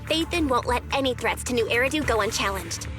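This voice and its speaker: Game role: woman voicing determined support operator, lightly high voice, earnest, music underneath. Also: animated, brisk, natural voice. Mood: earnest, focused. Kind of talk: scripted video game acting.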